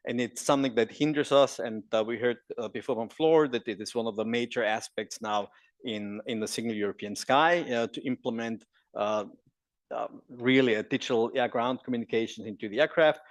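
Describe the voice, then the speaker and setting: A man's voice: mid-range voice, brisk, dry recording. A man with an Austrian German accent, a native German speaker, presenting at a conference and joking with the audience. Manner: jokey, engages the audience with questions.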